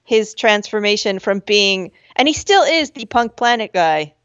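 A woman with a high voice chats and laughs as a podcast co-host, slipping into squeaky voices when she quotes herself. The recording is dull and slightly lo-fi. It sounds dry, with no room echo. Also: animated, unhurried, fairly bright tone, clear, resonant tone. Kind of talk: casual chat. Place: at home with a dog.

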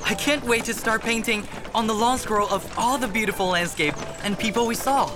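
An actor playing a passionate, deferential seventeen-year-old court painter in a radio drama, speaking earnestly. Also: male voice, high-pitched, brisk, close to an everyday voice. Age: young adult. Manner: earnest, respectful. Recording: music behind the voice.

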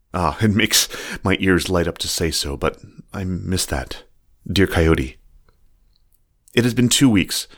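A man plays a genteel storybook fox with a low, deep voice. He is earnest and florid, a gentle and lovestruck creature of good standing, speaking his love letters with formal care. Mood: tender, confiding. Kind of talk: dramatic reading of love letters.

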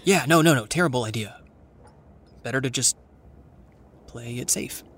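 A woman's voice: extremely low pitch, moderate pace, slightly rough. A woman playing an anxious, wry, sarcastic teenager in a naturalistic audio drama. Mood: awkward.

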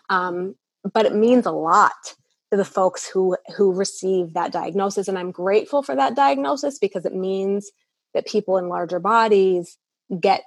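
A woman speaks with a somewhat low voice, recorded close and dry with no room sound. She answers as a clinician in an interview, earnest and a little halting, often restarting her sentences. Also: dry recording. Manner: earnest, hesitant with many restarts and fillers. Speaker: eating disorder clinician, guest.

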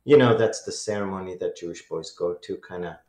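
Israeli guy on a radio show, deep voice, lots of ums, kinda roomy sound. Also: mellow. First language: Hebrew. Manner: earnest, measured storytelling with many "um"s. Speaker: guest. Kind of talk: telling his personal testimony.

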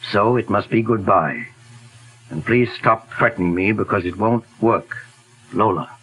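Calm radio detective, husky, measured, polite, 1940s radio hiss. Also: male voice, lowish voice, rough recording. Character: calm methodical male detective, middle-aged. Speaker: detective lead. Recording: hissy 1940s radio broadcast.

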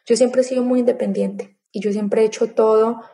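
A woman in her thirties telling her own story in a casual interview, chatty and animated, acting out her past thoughts as quotes. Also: a little high, brisk. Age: 30s. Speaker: interview guest.

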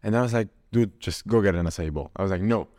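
A man in his twenties from Syria, a podcast guest telling his story in an animated way and laughing often. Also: deep voice, fast talker. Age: 20s. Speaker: podcast guest, mindset coach. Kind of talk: casual interview chat.

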